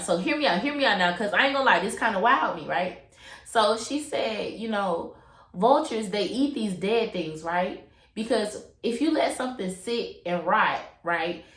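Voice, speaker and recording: A woman's voice: medium pitch, roomy sound. A young woman hosting her own podcast, chatting casually with lots of slang and fillers, a little congested from a cold. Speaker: podcast host. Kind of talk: casual solo podcast chat.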